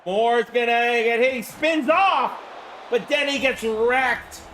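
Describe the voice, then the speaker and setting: A man calling a simulated football game on a live stream, hoarse from the flu, chatting with viewers and breaking into excited shouts on big plays. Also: very high-pitched, slow, a little hiss or hum. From Florida. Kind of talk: live-stream play-by-play commentary.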